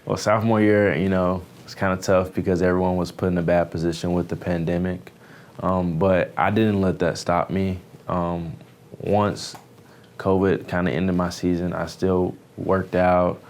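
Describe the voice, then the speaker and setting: A man's voice: deep voice, flat delivery, moderate pace, darkish tone, a little hiss or hum. A man in his early twenties with an American accent, a young athlete giving casual, somewhat hesitant interview answers full of fillers. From Houston area, United States. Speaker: baseball pitcher, newly drafted player.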